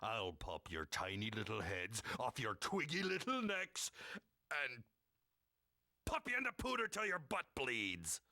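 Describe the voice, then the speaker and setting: A threatening male town boss who lays out his warnings slowly. His tone is sharp and tense, with lively swings in pitch. The recording is dry and close. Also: no room sound, heavily acted. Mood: menacing. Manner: threatening, drawn-out delivery. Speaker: voice actor in a recording session.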